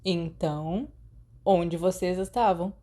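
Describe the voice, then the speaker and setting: A woman's voice: on the low side, slow, dark tone. A woman with a Brazilian accent voicing a brash, foul-mouthed, indignant teenage boy in an audiobook story. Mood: questioning.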